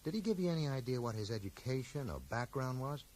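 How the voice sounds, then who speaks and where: An actor playing a dogged, dry-witted bookseller who questions people like a detective, direct and wry, in a radio drama. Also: male voice, medium pitch, animated, fast, a little mellow, lightly acted. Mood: probing, insistent. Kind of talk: 1980s radio drama acting. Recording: noisy 1980s radio broadcast.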